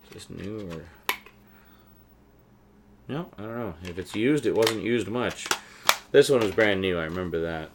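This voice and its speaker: Deep-voiced man, rambling fan chat. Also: noisy background. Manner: rambling, enthusiastic fan talk. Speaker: vlogger, collector showing DVD purchases. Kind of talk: casual unscripted show-and-tell commentary.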